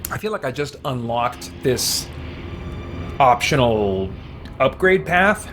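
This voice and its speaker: A man live-streaming a game, reading its text aloud and chatting casually as he thinks out loud. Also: medium pitch, slow, faint music behind. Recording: steady background noise.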